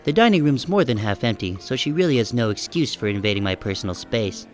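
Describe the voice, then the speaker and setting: Audiobook lead: wry, deadpan young sheep narrator male actor, self-conscious, conversational, mid pitch. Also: fast, soft background music, close to an everyday voice. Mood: wry, musing. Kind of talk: first-person audiobook narration.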